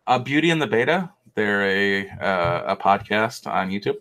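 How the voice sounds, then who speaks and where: A man's voice: fairly low pitch, expressive, normal speed, fairly bright tone. A man co-hosting a livestream, casually chatting and teasing the others with firm opinions.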